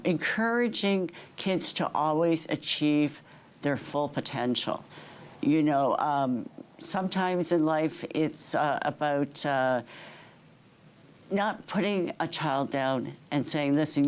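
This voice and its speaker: Low-voiced woman, earnest and halting. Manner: earnest, hesitant with many fillers. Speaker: school trustee candidate. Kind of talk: candidate interview answers.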